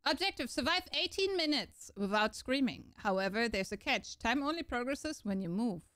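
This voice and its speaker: A woman's voice: a little high, animated, normal speed, fairly bright tone. A young woman streaming a game, mumbling to herself and making dry sarcastic remarks. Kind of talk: casual gameplay commentary.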